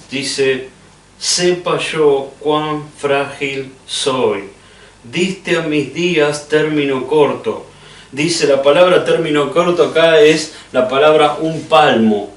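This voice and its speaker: A middle-aged man with a Rioplatense Spanish accent preaching conversationally, addressing the listener directly and earnestly with rhetorical questions. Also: mid-range voice. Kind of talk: conversational sermon. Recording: echoey room, distant microphone.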